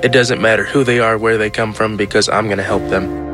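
A man's voice: on the low side, a little fast, music in the background. Casual man telling his life story. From United States. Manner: relaxed, many fillers and "you know"s. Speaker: interviewee.

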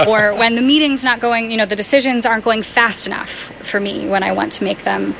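A woman on staff at a faith community house, answering interview questions in an earnest, thoughtful way with soft laughs. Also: fairly high pitch, fairly quick, gravelly, noisy background.

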